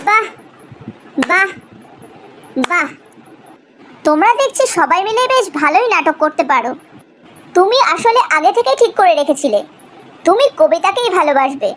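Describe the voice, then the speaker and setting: A man puts on a dramatic, wounded young woman for a cartoon romance. The voice is extremely high, theatrical and accusing. There is faint noise in the recording. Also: sing-song, moderate pace, pauses often, sharp tone, full character voice. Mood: sarcastic, accusing. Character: young women quarrelling in a love triangle, indignant and dramatic. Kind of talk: dramatic animated story dialogue.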